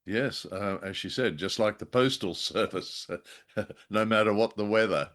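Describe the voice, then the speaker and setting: A man's voice: fairly low pitch, measured pace, fairly bright tone. An Australian man co-hosting a conversational review show, talking in a wordy, wry way. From Perth, Western Australia. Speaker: podcast co-host. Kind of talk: conversational radio drama review.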